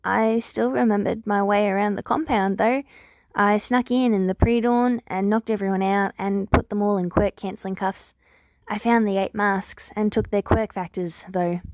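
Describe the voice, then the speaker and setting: A woman's voice: medium pitch, steady tone, normal speed, a little mellow, dry recording, close to an everyday voice. Woman acting an earnest, firm young heroine student. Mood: resolute. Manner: prompt, firm, wry.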